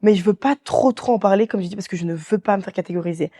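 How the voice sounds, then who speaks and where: A woman's voice: medium pitch, quick pace, warm tone. A woman, chatting casually and telling anecdotes as an interview guest, talkative and full of slang and quoted dialogue. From Belgium. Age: 20s. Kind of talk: casual chat, anecdotal storytelling.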